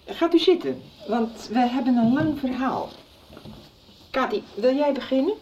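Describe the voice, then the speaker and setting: A woman's voice: high voice, animated, a little slow, darkish tone, a little hiss or hum. A woman playing an upset, blunt middle-aged mother in a radio soap. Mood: brisk, indignant. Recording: dull 1990s radio recording.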